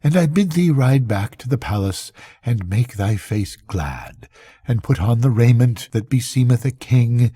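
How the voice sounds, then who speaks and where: A man's voice: medium pitch, expressive, measured pace, warm tone, breathy edge, no room sound, studio quality. A man voicing a grave, aged, world-weary bishop in a bedtime storybook, giving solemn counsel in archaic phrases. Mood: admonishing.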